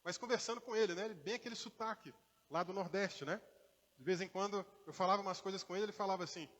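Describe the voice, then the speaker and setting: High-voiced preacher in a reverberant church. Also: male voice, fast talker, a bit bright. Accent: mixed Brazilian Portuguese, partly Minas Gerais. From Brazil, born in Rio, raised in inland Minas Gerais. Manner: conversational preaching with acted quotes and asides. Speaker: pastor. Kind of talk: sermon.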